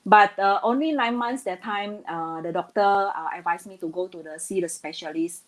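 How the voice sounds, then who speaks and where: A woman's voice: mid-range voice, normal speed. Hesitant Indonesian-born woman guest. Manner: hesitant, many fillers, earnest. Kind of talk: casual interview talk.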